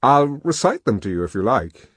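A man's voice: medium pitch, normal speed, slightly rough, no room sound, studio quality, pitched above the performer's own voice. A man playing a buoyant, reckless male lecturer and poet in an audiobook, with an amused, emphatic way of talking. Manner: animated, emphatic, amused storytelling. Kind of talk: audiobook character dialogue.

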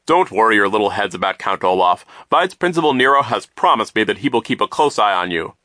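A fussy, self-satisfied banker from a storybook, acted out by a male narrator. He speaks loudly and heartily, leaning on his words with pompous assurance, blithely sure of himself. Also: lowish voice, animated, fairly quick, dry recording, studio quality. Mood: dismissive. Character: pompous, oblivious, fussy grown banker man. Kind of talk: audiobook character voice.

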